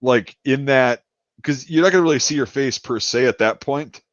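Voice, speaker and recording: Podcast host: a rambling, swearing man, mid-pitched, recorded dry and lo-fi. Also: moderate pace, no room sound. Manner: rambling, swears freely, lots of fillers and restarts. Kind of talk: casual chat. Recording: dry, dull lo-fi sound.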